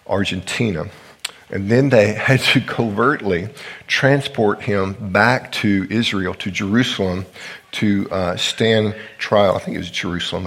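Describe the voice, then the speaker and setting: A pastor who is sick and sounds deeper than usual, leading a prayer and starting his sermon with casual asides and fillers. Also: a bit bright.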